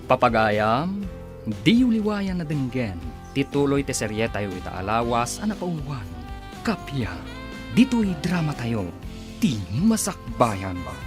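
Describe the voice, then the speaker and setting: A male radio drama announcer with a medium pitch and a very animated delivery. He stretches his phrases theatrically, with clear music behind him. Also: unhurried. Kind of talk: dramatic scripted radio narration.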